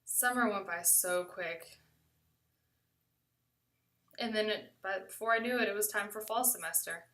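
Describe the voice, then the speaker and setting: A woman's voice: mid-range voice, a little slow, pushed and bright, distant mic. An American woman telling a first-person story in a conversational way, acting out bits of quoted dialogue.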